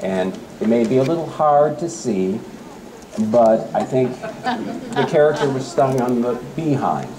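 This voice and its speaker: A middle-aged man giving a public talk and reading poems aloud, with expressive storytelling. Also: mid-range voice, unhurried, reverberant. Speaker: speaker reading poems at a library event. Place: campus center event space. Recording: live event with faint room noise. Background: faint ambient noise.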